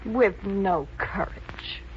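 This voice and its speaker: An actress playing a bitter, scornful wife in an old radio drama, with theatrical, commanding delivery. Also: female voice, medium pitch, drawn-out words. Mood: scornful, demanding. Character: bitter, scornful adulterous wife plotting her husband's murder. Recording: dull lo-fi old radio, faint noise.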